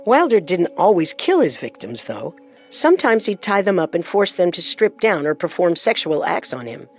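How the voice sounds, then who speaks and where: A woman's voice: lowish voice, sing-song, normal speed, faint music behind. A woman co-hosting a true crime show, delivering scripted narration with dramatic phrasing.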